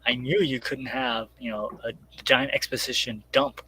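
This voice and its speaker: A man's voice: medium pitch, steady tone, measured pace, bright, forceful tone, slight background noise, rough recording. A man speaking casually as an interviewed writer, explaining step by step and acting out imagined remarks as he goes. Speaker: guest comic book writer.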